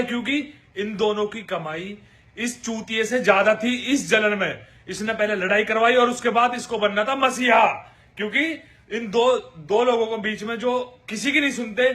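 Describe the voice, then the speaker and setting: A man's voice: high-pitched, bright, forceful tone. A man giving informal, accusatory commentary to viewers in crude slang. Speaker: commentator on an online feud.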